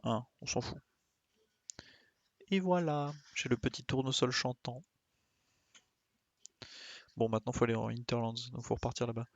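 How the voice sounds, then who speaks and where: A man's voice: medium pitch, steady tone, normal speed, pauses often, lo-fi sound. A man doing casual, colloquial gaming commentary, thinking aloud and muttering to himself as he plays. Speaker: streamer playing a video game.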